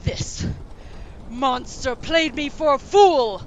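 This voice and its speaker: Very high, hot-tempered warrior sister played by performer. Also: female voice, takes it slowly, muffled recording, slight background noise. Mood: furious. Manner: intense, indignant, formal. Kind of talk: fantasy audio drama acting.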